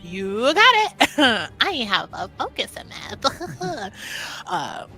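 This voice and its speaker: A woman narrates her character's moves in a tabletop game. Her delivery is casual and unhurried, with animated pitch, over soft background music. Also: creaky.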